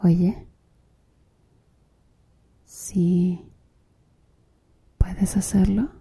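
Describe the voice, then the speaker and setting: A woman's voice: fairly low pitch, little pitch movement, very slow, creaky, warm tone, husky, heavily acted. A woman playing a flirtatious, teasing female tattoo artist in an ASMR roleplay, murmuring breathily and seductively to the listener. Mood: seductive, intimate. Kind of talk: Spanish-language ASMR roleplay.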